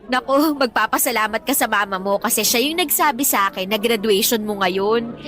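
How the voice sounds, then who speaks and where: A woman's voice: a little high, a little fast, fairly bright tone, close to an everyday voice. An actress playing an affectionate, teasing, motherly former nanny in an emotional radio drama. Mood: tender. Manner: emotional, tender, playfully scolding. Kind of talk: Tagalog radio drama acting.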